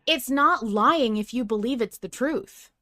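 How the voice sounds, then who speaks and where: A woman with a fairly high voice talks as a podcast co-host. Her delivery is casual and emphatic, pressing her points like someone in a friendly debate. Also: measured pace, sharp tone. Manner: emphatic, argumentative, swears. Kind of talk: animated casual discussion of an audio drama.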